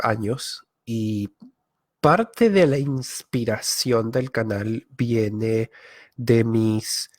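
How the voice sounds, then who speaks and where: A man from Venezuela, a YouTuber giving a live online masterclass, thinking aloud with filler pauses and frequent self-corrections. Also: mid-range voice, a little mellow, no echo. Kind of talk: live-streamed talk.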